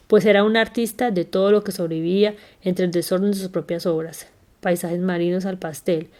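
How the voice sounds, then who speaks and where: Woman reading a memoir aloud, low voice. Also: warm tone. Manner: unhurried, careful reading with small slips and self-corrections. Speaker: reader.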